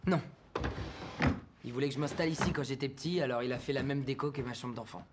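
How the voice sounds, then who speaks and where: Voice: medium pitch, quick pace, background noise, close to an everyday voice. A performer playing a skeptical, exasperated young man with a dry, reluctant manner in a French film fan dub. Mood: defensive. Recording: music behind the voice.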